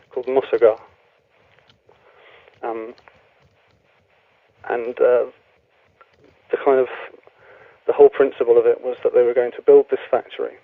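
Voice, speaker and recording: A young man, a guest author speaking in a radio interview, relaxed and hesitant with many ums. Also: medium pitch, gravelly, no room sound. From Wales. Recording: tinny phone line, dry.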